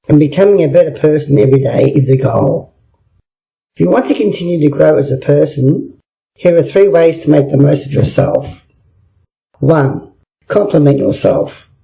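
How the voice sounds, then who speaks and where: A man reads scripted motivational advice in a very mellow, dark tone. His pitch sits a bit high for a man, with plenty of fry in it. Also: low-quality recording. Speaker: reader.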